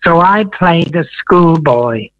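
A man in his eighties from Wales, a veteran entertainer telling his story in a radio interview, slow and meandering with many ums. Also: a little high, lively intonation, no room sound, phone-quality sound. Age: 80s.

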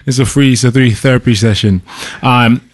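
Man, young adult, from Zambia, radio studio guest, relaxed and chatty, laughs easily. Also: medium pitch, brisk. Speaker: actor guest. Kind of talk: casual radio interview.

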